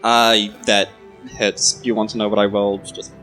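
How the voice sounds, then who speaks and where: A man's voice: on the low side, little pitch movement, moderate pace, sharp tone. A man bantering as a player at a live tabletop game, joking and mock-serious. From New Zealand. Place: live on stage at a club. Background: music under the voice.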